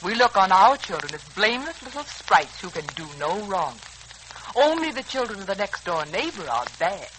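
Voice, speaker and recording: A woman's voice: mid-range voice, big pitch swings, normal speed, a bit bright, low-quality recording. An actress playing a middle-aged American wife and mother in a 1940s radio serial, wry, emphatic and theatrical. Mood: rueful. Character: sharp-tongued, long-suffering middle-aged wife and mother. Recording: hissy 1940s radio recording.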